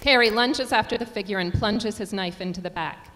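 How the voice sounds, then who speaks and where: A woman's voice: mid-range voice, fairly quick, a bit bright. Woman narrator in a reverberant hall. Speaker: narrator reading stage directions. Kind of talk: scripted narration at a staged reading. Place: performing arts center stage. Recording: reverberant theater hall, music behind.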